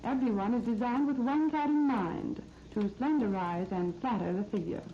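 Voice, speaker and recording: A 1930s American woman reads a scripted shopping feature for radio. Her voice sits fairly high and her delivery is polished and promotional. The old recording is muffled, with faint noise underneath. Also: moderate pace. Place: radio studio. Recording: muffled, noisy 1939 radio disc recording.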